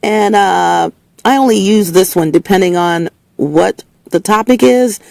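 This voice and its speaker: A woman host delivers a casual, opinionated monologue with a touch of hoarseness. Her delivery is emphatic and preachy, full of restarts and repeated phrases. Her voice carries a noticeable gravelly fry and sits in a middling range. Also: mid-range voice, a little slow, a little mellow, no room sound. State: slightly hoarse, voice lower than usual. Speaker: host of a spoken opinion segment. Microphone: speaking into a recording setup with a level meter.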